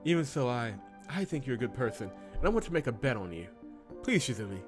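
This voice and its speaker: A man's voice: mid-range voice, big pitch swings, fast talker, close to an everyday voice. Timid young indentured woman, played by a man, hesitant and fragile, game dialogue over music. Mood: pleading.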